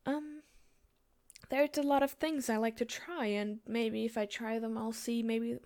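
Casual chat from a young German woman with a lisp and a German accent, speaking in a fairly high voice. she hesitates a lot and laughs often while answering questions from her listeners. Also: steady tone, measured pace, darkish tone. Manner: hesitant, giggly, lots of fillers.

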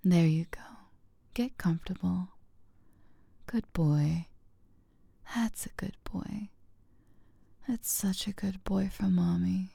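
Breathy, slow-whispering woman. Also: fairly low pitch, no echo. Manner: soft, coaxing, close whisper; slow, drawn-out phrasing with hums. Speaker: audio roleplay performer. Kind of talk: whispered intimate audio roleplay.

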